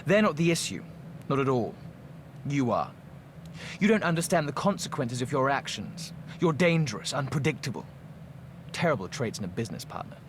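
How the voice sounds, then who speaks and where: A man's voice: medium pitch, big pitch swings, moderate pace, lightly acted. An actor playing a wry, ancient undead businessman in a video game, with a dry, sardonic manner. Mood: firm, dismissive. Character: wry three-thousand-year-old undead male businessman in fedora. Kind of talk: dramatic video game voice acting. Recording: clear background noise.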